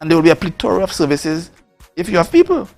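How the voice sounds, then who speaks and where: A man from Tobago with a Trinidad and Tobago accent, making a forceful political case on a morning talk show and repeating words for emphasis. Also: sits high, sing-song, fast, creaky, soft background music. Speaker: politician, guest.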